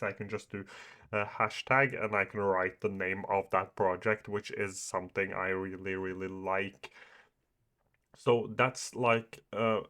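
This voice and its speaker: A man's voice: lowish voice, a bit bright. A young man whose first language is Norwegian or Farsi gives a casual walkthrough of an app, filling his talk with "uh" and "like". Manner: many fillers, "uh" and "like", hesitant. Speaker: YouTuber reviewing apps. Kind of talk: casual screen-share walkthrough.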